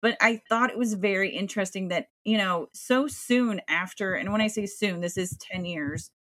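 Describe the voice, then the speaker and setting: A woman's voice: mid-range voice, normal speed, a bit bright, no room sound. A woman co-hosting a casual film podcast, talking in a rambling way with many fillers. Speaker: podcast co-host.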